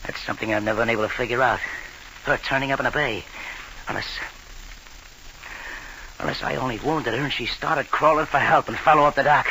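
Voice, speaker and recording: A man's voice: mid-range voice, a little fast, long pauses, pushed and bright, rough recording. A man playing an agitated, haunted tough-guy killer with slangy, intense delivery in an old-time radio mystery. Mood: defensive, rattled. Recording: vintage radio recording with background hiss.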